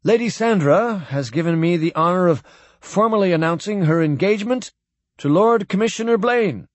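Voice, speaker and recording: A man's voice: high-pitched, lively intonation, normal speed, no room sound, voice pushed up. A man voicing a merry chaplain making a formal announcement.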